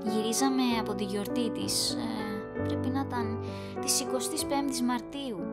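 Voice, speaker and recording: A woman acting an anxious, wistful young woman in a Greek audio drama, expressive and conversational. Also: fairly high pitch, over loud music, natural voice. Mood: soft, reminiscing.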